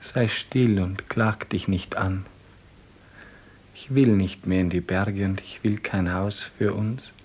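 An actor playing a devoted, dreaming clerk in love, speaking solemn poetic lines in a 1950s German radio play. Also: male voice, low-pitched, fairly even intonation, darkish tone, slightly rough, heavily acted. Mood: fervent, solemn. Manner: solemn, poetic, slow declamation. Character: devoted dreaming office clerk, tender and rapturous lover. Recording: dull 1950s radio recording.

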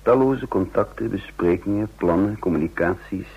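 An actor playing an uneasy impostor agent in an old radio drama, narrating his intrigue in a deliberate, hushed manner. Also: deep voice, slightly rough. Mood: reflective narrating. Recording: muffled old radio play recording.

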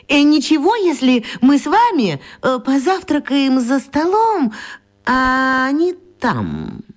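A woman's voice: high-pitched, huge pitch swings, takes it slowly, full character voice. An actress playing a chatty, eccentric elderly woman pensioner with a genteel, coquettish manner in a children's radio play. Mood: playfully hospitable.

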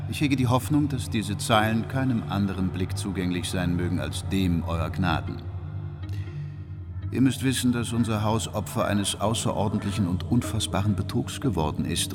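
An actor playing a formal, deferential Renaissance banker reading out a grave letter in a solemn, measured manner for a radio drama. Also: male voice, on the low side, natural voice. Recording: long hall reverb, music underneath. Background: music.

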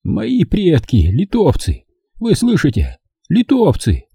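Man reader plays a frail, tearful old villager, high and quavering, dark husky tone, begging. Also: huge pitch swings, moderate pace, no room sound, clean studio sound, full character voice. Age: older. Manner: tearful, whining, pleading. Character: frightened old German man pleading for his life.

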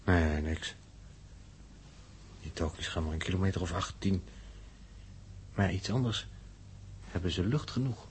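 A man's voice: sits low, lively intonation, measured pace, pauses often. An actor playing a sober, worried space mission crewman in a 1960s radio drama. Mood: concerned. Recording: old radio recording, faint noise.

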